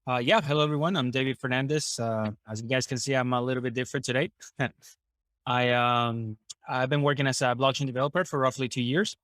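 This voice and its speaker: A man's voice: medium pitch, steady tone, a little fast, fairly bright tone, dry recording. A man with a mid-range voice. He talks hesitantly, with many fillers. The sound is dry. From Venezuela. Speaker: blockchain developer.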